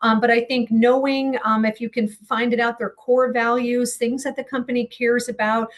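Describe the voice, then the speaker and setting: Woman, somewhat high voice, interview guest, conversational, earnest, explanatory, thinking aloud. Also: flat delivery, moderate pace. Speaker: interview guest giving career advice.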